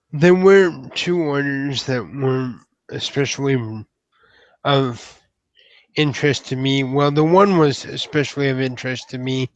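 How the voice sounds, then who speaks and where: A man playing an earnest, polite young troll newcomer in an improvised roleplay, speaking haltingly in rather formal words. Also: mid-range voice, no echo, highs cut off, natural voice. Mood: hesitant, apologetic. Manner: hesitant, halting, formal wording. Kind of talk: improvised in-character roleplay dialogue.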